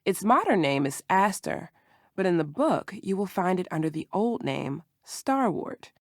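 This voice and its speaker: A woman playing a careful herbal shopkeeper in a video game, instructive and gentle. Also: on the low side, big pitch swings, unhurried, no echo, clean studio sound, full character voice. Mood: encouraging, explanatory. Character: calm female herbal shopkeeper, patient, careful mentor.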